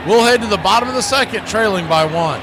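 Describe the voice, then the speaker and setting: A man with a fairly high voice delivers live baseball play-by-play as a radio announcer. Clear background noise runs behind his commentary. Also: animated, fast talker.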